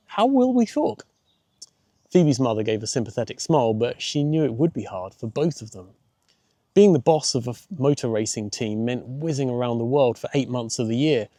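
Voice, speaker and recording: A man's voice: medium pitch, warm tone, no echo, clean studio sound. A man reading a children's audiobook as its narrator, in scripted storytelling style.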